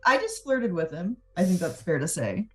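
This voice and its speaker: A woman playing a tabletop game, chatting casually in an animated, exclamatory manner. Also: lowish voice, moderate pace, over music.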